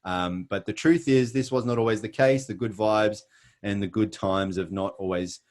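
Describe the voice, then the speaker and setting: A man's voice: lowish voice, fairly even intonation, moderate pace, a little mellow. A middle-aged Australian man giving an earnest, emphatic motivational presentation with self-deprecating humor.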